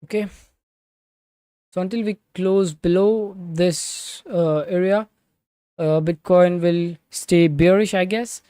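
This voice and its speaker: A man from Switzerland giving live crypto market commentary and answering chat, halting with many fillers, restarts and loose grammar. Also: high-pitched, dark tone, clear, resonant tone, no echo, studio quality. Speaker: crypto trading livestreamer.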